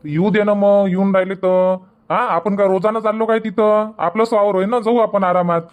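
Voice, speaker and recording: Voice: medium pitch, fairly even intonation, moderate pace, lightly acted. Grumbling Marathi village farmer husband, voiced by an actor. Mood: unhurried, defensive. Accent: rural Vidarbha Marathi. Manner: grumbling, dismissive. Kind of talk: cartoon comedy dialogue.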